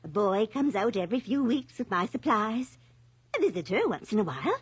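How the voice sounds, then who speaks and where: A woman's voice: fairly high pitch, sing-song, a little fast, husky, exaggerated voice. Fluttery, sing-song sweet old lady. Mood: wistful, cheerful. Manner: rambling, fluttery, cheerful hostess. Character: sweet, chatty, lonely, forgetful elderly village lady. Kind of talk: radio drama acting.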